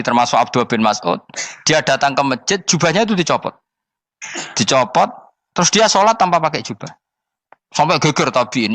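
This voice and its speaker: A man from Indonesia with a Javanese accent, preaching in a relaxed, joking, storytelling way, often asking rhetorical questions and repeating his points. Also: medium pitch, no echo. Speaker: Islamic preacher. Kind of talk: sermon.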